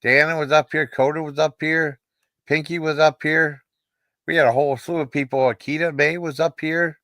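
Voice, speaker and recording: A man's voice: on the high side, fairly even intonation, moderate pace, a bit bright, no room sound, clean studio sound. A man hosting a casual livestream, talking in a rambling, informal way with short repeated acknowledgments. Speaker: livestream host. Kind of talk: casual livestream chat and sign-off.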